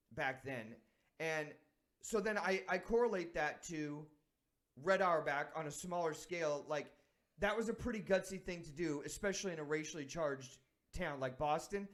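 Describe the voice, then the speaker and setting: A man from San Diego who hosts a sports talk show, speaking with a slightly higher voice. He reflects earnestly and thinks out loud, restarting his sentences as he goes. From San Diego, United States.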